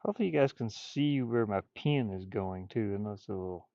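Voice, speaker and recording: A man's voice: lowish voice, fairly quick, dark tone, no room sound. Muttering, folksy man in a dull, dry recording. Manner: muttering, thinking aloud to himself. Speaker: streamer and hobby artist. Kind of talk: casual livestream chat while drawing.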